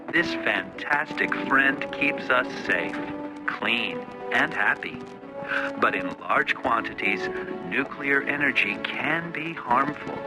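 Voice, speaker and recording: An actor playing a folksy corporate educational film narrator, reassuring and patronizing in a simple, measured way. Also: male voice, mid-range voice, poor audio. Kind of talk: scripted retro educational narration. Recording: voice over prominent music.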